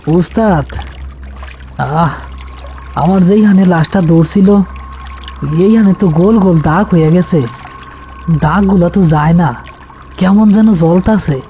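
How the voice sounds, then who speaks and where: Man voicing an anxious, high-pitched young apprentice. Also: measured pace, long pauses, mellow, soft background music. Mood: worried, pained. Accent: rural Bangladeshi. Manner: anxious, questioning. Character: frightened young boatman apprentice, deferential and anxious. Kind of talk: horror cartoon voice acting.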